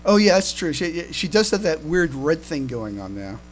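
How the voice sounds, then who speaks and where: A man's voice: on the high side, big pitch swings, normal speed, a little hiss or hum. A man hosting a casual fan podcast, chatting hesitantly and often stammering and repeating his words. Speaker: podcast host.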